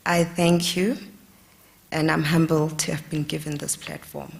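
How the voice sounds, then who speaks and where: A woman giving a slow, solemn formal speech. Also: deep voice, little pitch movement, gravelly. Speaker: speaker, royal. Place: conference hall.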